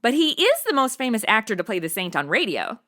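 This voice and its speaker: A woman acts a young American valley-girl type in a comedy radio play. The voice is high and swoops around, blunt, casual and easily exasperated. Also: big pitch swings, fast, fairly bright tone, faint music behind. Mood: breezy, chatty. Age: young adult. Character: flighty, slangy young modern woman, easily rattled. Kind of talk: comedy audio drama acting.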